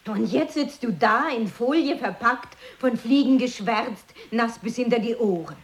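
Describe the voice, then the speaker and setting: An actress playing a bossy woman who inspects and gives orders deliberately in an experimental radio play. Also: fairly high pitch, natural voice. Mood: commanding, probing. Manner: commanding, slow, deliberate phrasing.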